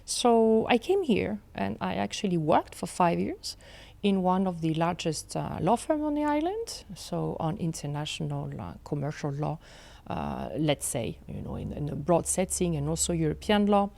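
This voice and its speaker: A woman in her forties with a French accent, a guest in an interview, speaking in a measured way with many fillers and restarts. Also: on the low side, very animated, creaky, mellow. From France. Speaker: law professor, guest. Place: studio set with armchairs. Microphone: desk mic on boom arm.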